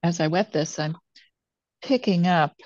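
An older woman teaching watercolor, thinking aloud as she demonstrates and pausing between phrases while she works. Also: on the low side, expressive, a little mellow, no echo. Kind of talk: real-time demonstration narration, thinking aloud. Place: indoors at a painting table. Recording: dull, muffled lo-fi sound.

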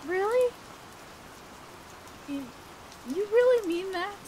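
A woman playing an awkward, earnest teenage girl student council president in a romantic audio roleplay, halting and bashful as she talks. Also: very high-pitched, a little hiss or hum, heavily acted, rough recording. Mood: touched, flustered. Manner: halting, bashful, breaking off mid-sentence. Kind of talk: romantic audio roleplay acting, one-sided dialogue. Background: rain sounds.

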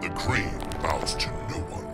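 A man's voice: measured pace, close to an everyday voice. A man playing a cold, imperious inhuman being in a video game, speaking in stiff declarations. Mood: stern, aloof. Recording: loud game music underneath.

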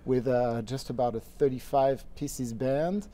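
A man with a French accent talks in an easygoing way, often laughing, as a studio guest. His voice is mellow and a little dark, and it sounds close to the lapel mic on a TV set. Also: mid-range voice, a little slow. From France. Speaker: guest musician. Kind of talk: casual interview chat.

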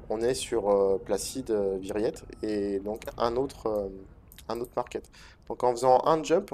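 A man speaks in a mellow, darker tone, stuffed up by a head cold. He talks through gameplay in an unhurried, hesitant way, with frequent fillers and restarts. Faint hiss sits under his desk mic. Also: medium pitch. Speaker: gaming video host. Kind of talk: relaxed commentary over gameplay.

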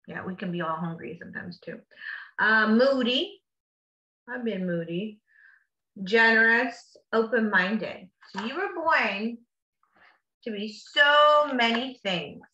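A woman's voice: on the high side, takes it slowly, bright, forceful tone, lo-fi sound. A woman reading a picture book aloud to children like a teacher, with encouraging, simply worded asides in between. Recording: echoey room.

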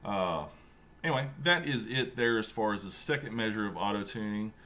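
A man in his thirties hosting a casual screen-share tutorial at home, chatty and relaxed, with lots of fillers and a fairly monotone delivery. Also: lowish voice, fairly quick, a little hiss or hum. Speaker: sim racing channel host. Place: home room with a racing rig.

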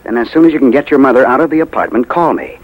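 Actor as animated, wry, friendly neighbor man. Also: mid-range voice, very fast, lo-fi sound, natural voice. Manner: easygoing, wry. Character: friendly, teasing shopkeeper neighbor Bill. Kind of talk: 1950s radio drama acting.

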